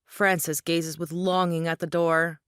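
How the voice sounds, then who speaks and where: A Scottish woman narrates a play's stage directions. Her voice is fairly low and very smooth, with a dry, close sound. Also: little pitch movement, a little slow, fairly bright tone, dry recording.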